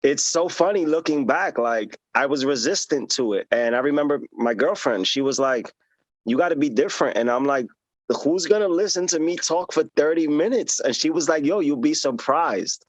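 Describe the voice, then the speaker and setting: A man guesting on a podcast, telling stories in a candid, humble and animated way. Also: on the high side, normal speed, dry recording, rough recording. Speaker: podcast guest, teacher and author. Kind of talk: casual interview chat.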